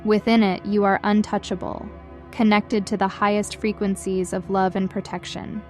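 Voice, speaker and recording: Soothing lady doing guided meditation voiceover, mid pitched, slow careful delivery, music playing behind her. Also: flat delivery. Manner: gentle, soothing, measured delivery. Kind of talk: scripted guided meditation narration. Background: background music.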